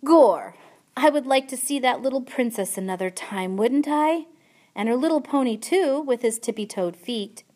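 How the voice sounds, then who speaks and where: A woman's voice: on the high side, animated, normal speed, darkish tone, pitched above the performer's own voice. A woman voicing a naive, wistful young peasant girl with simple, earnest rustic speech in a storybook reading. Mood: longing.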